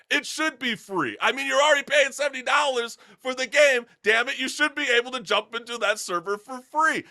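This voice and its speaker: Guy hosting a talk show, really high bright voice, punchy and opinionated, slangy. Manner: emphatic, rhetorical questioning, casual slang and mild swearing.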